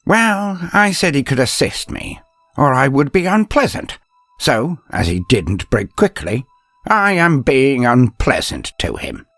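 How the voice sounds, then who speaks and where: A man's voice: mid-range voice, big pitch swings, measured pace, fairly bright tone, dry recording, voice pushed up. A man voicing an eccentric old inquisitor, a gleefully vindictive master speaking with theatrical menace.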